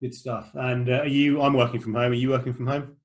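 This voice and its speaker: A man's voice: medium pitch, flat delivery, fast. A middle-aged man hosting a podcast from home over a remote call, talking in a loose, rambling way with lots of fillers and restarts. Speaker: podcast host. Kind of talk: casual podcast interview.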